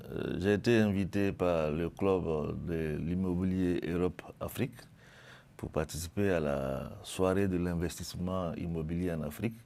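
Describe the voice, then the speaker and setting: A man who works as a senior banking executive, a guest on a TV panel, speaking in a measured, formal way. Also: low voice, vocal fry, mellow. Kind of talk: TV panel discussion.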